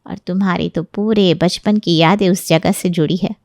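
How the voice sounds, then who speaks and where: A woman's voice: fairly low pitch, steady tone, normal speed, gravelly, a little mellow, no echo, studio quality. A woman playing a sly, gossipy neighbour in a Hindi audio story, with an insinuating, persuasive way of talking. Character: scheming meddling neighbour woman, sly and gossipy, stirring quarrels.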